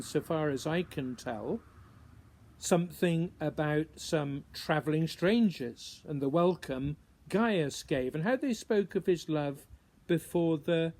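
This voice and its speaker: A man who is a writer reading his poem aloud, in a measured, musing way with deliberate pauses between short phrases. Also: fairly high pitch, darkish tone, slight background noise. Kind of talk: introduced poetry reading, measured recitation.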